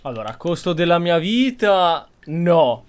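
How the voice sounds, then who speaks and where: A man's voice: fairly high pitch, big pitch swings, moderate pace, a little mellow, smooth. A man giving casual gameplay commentary with some roleplay, reasoning aloud as he goes. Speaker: gaming streamer.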